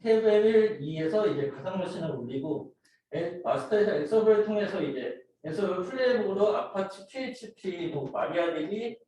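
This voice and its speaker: A man with a slightly high voice gives a student project presentation, explaining in an informal, halting way. He is recorded from a distance in a very reverberant room, with some noise in the recording. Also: lively intonation, warm tone. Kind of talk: team project presentation, explaining slides and a demo video. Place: indoor room, voice picked up from a distance. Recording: very echoey room, distant mic, noisy.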